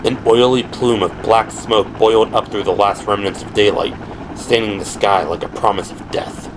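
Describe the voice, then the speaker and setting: A man's voice: lowish voice, steady tone, moderate pace, background noise. A man giving dramatic scripted narration for an audio drama. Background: helicopter and vehicle sound effects.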